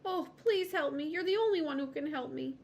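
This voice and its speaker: Lady doing a tiny sad lizard, high and whiny, begging for help, storybook critter. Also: brisk, a little hiss or hum. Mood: pleading. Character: small miserable pleading lizard.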